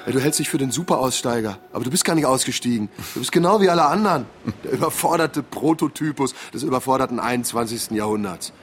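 A man's voice: mid-range voice, animated, fast talker, slight background noise, close to an everyday voice. An actor playing a drunk, jealous man who taunts his rival with mocking, theatrical politeness in a radio drama. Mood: scornful.

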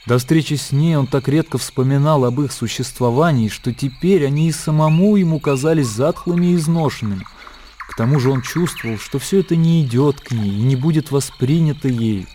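A man's voice: mid-range voice, brisk, dark tone, slight background noise, lightly acted. A man narrating a classic story in a Russian radio play, composed and literary in delivery. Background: music.

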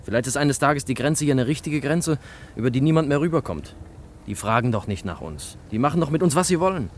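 A man's voice: medium pitch, animated, a little fast, slight background noise, natural voice. An actor playing an earnest young refugee engineer who pleads hesitantly and urgently with his sweetheart in a radio drama. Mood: urgent pleading. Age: young adult.

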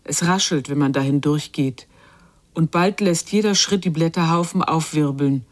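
An actress playing a quiet narrator in a literary radio drama, measured and lyrical in her delivery. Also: deep voice, natural voice. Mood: reflective.

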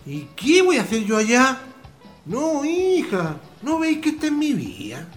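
A man's voice: extremely high pitch, huge pitch swings, a little slow, soft background music, full character voice. An actor playing an affectionate, stubborn old farmhand father with a rural Chilean accent and colloquial country speech, in a radio drama. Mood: proud, insistent. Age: older.

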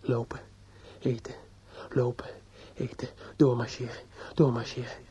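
An actor in a radio drama playing a despairing, obsessive judge's son who is outwardly polite and inwardly bitter. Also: male voice, medium pitch, flat delivery, dark tone, natural voice. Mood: bleak brooding. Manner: halting and hesitant with others, brooding and repetitive when alone. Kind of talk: radio drama acting with interior monologue. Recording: dull lo-fi old radio play recording.

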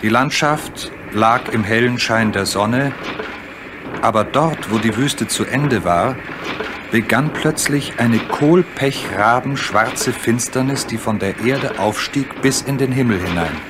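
A man's voice: medium pitch, slow pace, background noise. A man reading scripted storybook narration as the narrator of a children's radio play.

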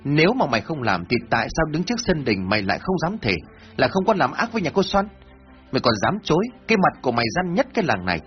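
A man's voice: mid-range voice, big pitch swings, fast, natural voice. A man voicing a hot-tempered male villager who harshly interrogates and threatens a suspect. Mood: angry. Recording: dull lo-fi with faint music.

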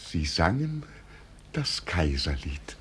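A man's voice: sits low, sing-song, takes it slowly, slightly rough, exaggerated voice. An actor in a 1950 radio play, playing a deluded middle-aged village man who grandly believes himself emperor, dreamy and solemn. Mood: dreamy, enraptured.

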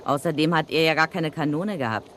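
An actress playing a cocky, slangy widow of a crook who blackmails a murderer, in a vintage radio play. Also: female voice, sits low, fairly quick, fairly bright tone, slight background noise. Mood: triumphant.

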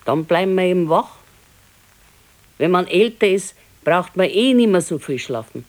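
A woman's voice: lowish voice, animated, moderate pace, darkish tone, natural voice. A woman playing a patient, consoling elderly wife in a radio play, with a Bavarian accent and a simple, matter-of-fact, resigned manner. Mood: caring, practical. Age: older. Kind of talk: naturalistic 1970s radio drama acting.

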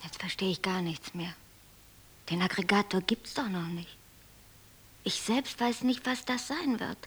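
An actress playing a puzzled, uncertain inventor in a radio play, with a husky, breathy voice. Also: female voice, mid-range voice, measured pace, a bit bright. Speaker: actor. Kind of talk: German radio play acting.

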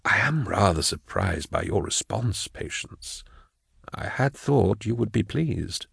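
A man voicing a smooth, falsely kindly prefect in an audiobook, soft and whispery, with measured, condescending phrasing. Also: on the low side, expressive, no room sound, clean studio sound, exaggerated voice. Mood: calmly condescending. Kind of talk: audiobook character acting.

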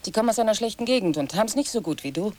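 A woman's voice: medium pitch, animated, rapid-fire, a little mellow, natural voice. A woman playing a bitter, nagging middle-class wife and weary mother in a radio drama, proper and full of reproach. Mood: weary, hushed.

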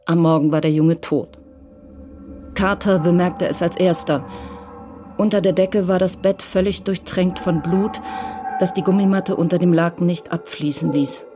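Calm woman narrating a crime drama. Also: on the low side, music in the background. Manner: calm, measured storytelling.

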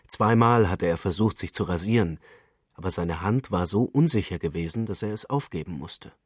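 A man's voice: sits low, expressive, normal speed, slightly rough, no echo, clean studio sound. A man reading literary prose as a scripted narrator.

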